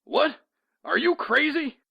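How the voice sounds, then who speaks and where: Gravelly, irate police lieutenant on the phone. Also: male voice, very high voice, lively intonation, slow pace, highs cut off, full character voice. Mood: irritated, incredulous. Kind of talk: audio drama acting.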